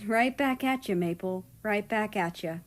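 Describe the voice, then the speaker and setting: A woman's voice: medium pitch, a little slow, lightly acted. A woman voicing a casual, playful young man with slangy, teasing speech in a read-aloud story. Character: young male America, casual, playful, slangy, easily exasperated.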